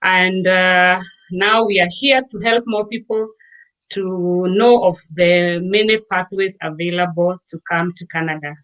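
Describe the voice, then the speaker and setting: A woman from Kenya, a guest in a casual interview, explaining at length with frequent pauses and fillers. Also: lowish voice, fairly even intonation, fairly bright tone, dull audio. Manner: explanatory, with many fillers and restarts.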